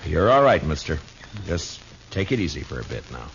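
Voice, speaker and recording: A tough, weary frontier marshal with a low American voice, in hard-boiled 1950s radio western style. The vintage recording is dull and limited. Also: male voice, sing-song, normal speed, slight background noise, heavily acted. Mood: steady, commanding.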